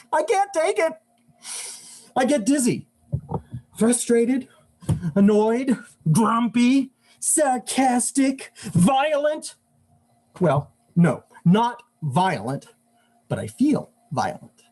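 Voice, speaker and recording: A man's voice: very high voice, a little mellow, full character voice. A man performing a live monologue as an exasperated, overheated husband, complaining in a whining, dramatic, agitated way. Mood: frantic, exasperated. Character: exasperated, overheated husband ranting about crowded shopping.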